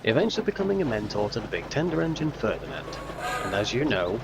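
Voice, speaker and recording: A man reading scripted narration for a children's railway audio drama, like a storybook, with a gravelly edge. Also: mid-range voice, very animated, quick pace, noisy background. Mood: storytelling.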